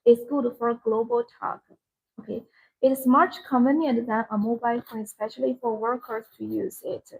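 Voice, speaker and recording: A woman's voice: fairly high pitch, normal speed, gravelly, darkish tone, husky, rough recording. A woman who speaks English as a second language, presenting products in a live sales demonstration with simple, repetitive phrasing. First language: not English.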